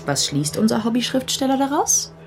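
A woman's voice: fairly high pitch, sing-song, normal speed, music in the background. A woman playing a cool, dryly teasing police assistant in a German crime radio drama.

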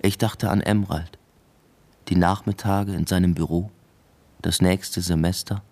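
A man's voice: sits low, steady tone, a little slow, a little mellow. An actor playing a brooding first-person narrator in a radio drama, telling of his collapsing life in a subdued, matter-of-fact, introspective way. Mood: subdued, reflective.

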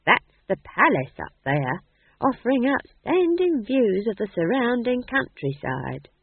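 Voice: medium pitch, big pitch swings, breathy edge, dry recording, voice pushed up, exaggerated voice. A narrator voicing a cheeky, shameless old witch woman from the country, blunt and chatty, drawing out the words. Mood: breezy. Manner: conversational, blunt, drawn-out phrasing. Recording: muffled, thin phone-like sound.

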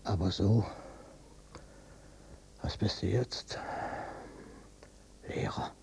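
Gravelly, bitter old father. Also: fairly low pitch, fairly even intonation, very slow, darkish tone, in a whisper, exaggerated voice. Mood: bitter, wistful. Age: older. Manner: brooding, obstinate. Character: bitter, stubborn old father, former wartime policeman. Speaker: actor in a radio play. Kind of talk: German radio drama acting. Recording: noisy cassette copy of radio play.

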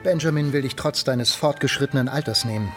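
A man's voice: medium pitch, a little fast, fairly bright tone, soft background music, lightly acted. An actor playing a stern, controlling merchant father, middle-aged, proud and commanding, in a German radio drama. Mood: cold, resolute.

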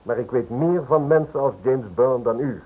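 Radio drama lawyer, male actor: dismissive and sceptical, medium pitch, rough-edged voice, muffled vintage recording. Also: normal speed, close to an everyday voice. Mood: scornful. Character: brusque, cynical male lawyer with wealthy clients. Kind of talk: Dutch radio drama acting.

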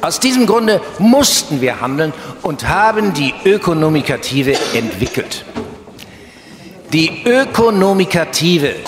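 An actor playing a grandiose banker-politician, giving a solemn, declamatory political speech in a satirical radio play. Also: male voice, sits high, fairly bright tone, heavily acted. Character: grandiose male banker-politician proclaiming a new law. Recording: echoey hall with long reverb.

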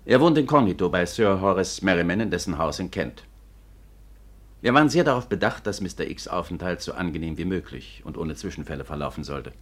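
Formal polite official, discreet and earnest, really low voice, old radio play. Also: male voice, lively intonation, a little fast. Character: courteous, discreet British government gentleman, formal and earnest. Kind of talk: 1950s German radio drama acting.

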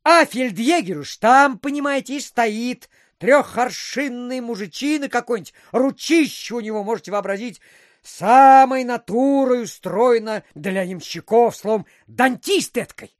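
A man's voice: extremely high pitch, unhurried, dry recording, clean studio sound. An actor playing a garrulous, self-important provincial official who rambles through his stories with filler phrases, in an audio drama. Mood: animated storytelling. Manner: digressive, full of filler phrases. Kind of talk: theatrical audiobook radio play.

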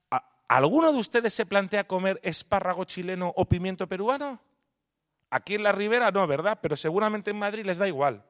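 A man's voice: a little high, a bit bright. A man from Spain giving a public talk on nutrition. He speaks emphatically, asks the audience rhetorical questions, and turns conversational when he takes questions afterwards. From Spain (Palma de Mallorca). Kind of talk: public lecture, then answering audience questions.

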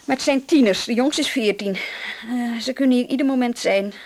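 An actress playing a brisk, sardonic police inspector in a radio drama, with a dryly blunt manner. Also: female voice, on the high side, darkish tone. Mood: businesslike.

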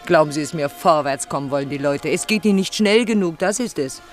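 A woman acting a brisk Greek café owner in a radio drama. She is chatty and blunt, making her points in a practical, matter-of-fact way, with faint music behind her. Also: low voice, lightly acted. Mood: brisk, insistent. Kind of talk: German radio play acting.

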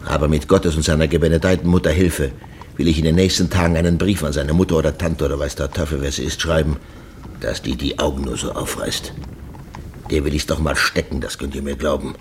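An actor playing a gruff, scornful, sharp-tongued father in a German radio play, blustering and sardonic. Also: a bass voice, steady tone, a little fast. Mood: indignant. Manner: blustering, sardonic, colourfully abusive. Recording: faint noise, old radio drama recording.

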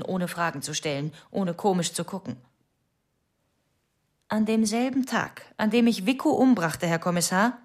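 A woman's voice: mid-range voice, close to an everyday voice. Here is a haunted, troubled wife , played by an actress, confessing in a radio drama. She speaks in intense fragments and lists, in a mid-range female voice. Music runs beneath her words. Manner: intense, fragmented, list-like narration.

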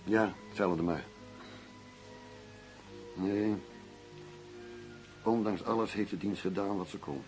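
An actor playing a stubborn, embittered undercover police detective in a radio play, indignant and sardonic in manner. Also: male voice, deep voice, slow, pauses often, darkish tone, faint music behind. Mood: weary, stubborn. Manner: indignant, sardonic, plain-spoken. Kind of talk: radio drama acting.

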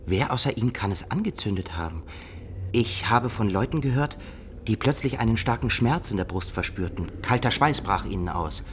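Radio drama casting: male actor as doubting, argumentative medieval courtier, lowish voice, faint ambience behind. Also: expressive, moderate pace, slight background noise, natural voice. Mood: insistent, reasoning. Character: sceptical, reasoning medieval companion of the emperor. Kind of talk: radio play acting.

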